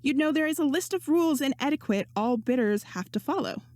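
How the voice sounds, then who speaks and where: A woman's voice: sits high, animated, fairly quick, a little mellow, dry recording, pitched above the performer's own voice. A woman voicing a confident, breezy young woman in a story, teasing and sure of herself. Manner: teasing, assured, sarcastic.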